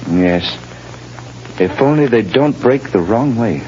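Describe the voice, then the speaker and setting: A man's voice: mid-range voice, animated, slow. An actor in an old radio drama playing an earnest, desperate unemployed family man, pleading his case. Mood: downcast, resolute. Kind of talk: 1930s radio drama acting. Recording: noisy, muffled old radio broadcast recording.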